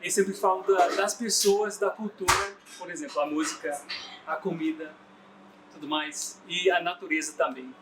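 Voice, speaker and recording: A man's voice: fairly high pitch, moderate pace, a loud crowd, rough recording. A man from the United States, a native English speaker and teacher, talking in an upbeat, easygoing way. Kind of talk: casual conversation. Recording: loud background noise.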